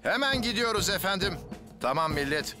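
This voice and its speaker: An actor voicing a steady, reassuring male firefighter in a cartoon dub. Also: high-pitched, normal speed. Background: music.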